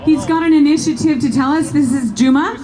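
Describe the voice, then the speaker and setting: A woman emceeing a street rally, quickly introducing the next speaker. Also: high voice, mellow. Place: outdoor downtown street protest. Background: faint crowd.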